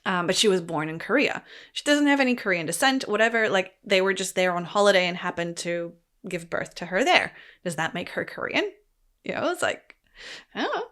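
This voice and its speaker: A woman's voice: mid-range voice, very animated, brisk, a bit bright. A young woman hosting a solo podcast, with an international, American-leaning accent with a slight New Zealand twang, talking in a rambling, chatty way, full of fillers and easy laughs. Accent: American-leaning international English with a slight New Zealand twang. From born in Poland, grew up in Germany. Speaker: podcast host. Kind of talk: casual solo podcast monologue.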